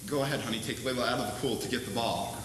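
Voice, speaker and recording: A young man in a school stage play, playing an anxious husband who coaxes and pleads with his wife and bursts out loud at peaks, heard from far off in an echoey hall. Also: medium pitch, steady tone, rapid-fire, a little hiss or hum, lightly acted. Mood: coaxing. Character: anxious husband Jim, gently pushing his wife to face the truth. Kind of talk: amateur stage acting. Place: high school auditorium stage. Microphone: distant room mic.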